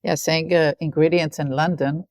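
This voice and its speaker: Low, mellow-voiced woman hosting an interview. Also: steady tone, normal speed, no echo, clean studio sound. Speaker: podcast host, interviewer. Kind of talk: interview questions and introduction.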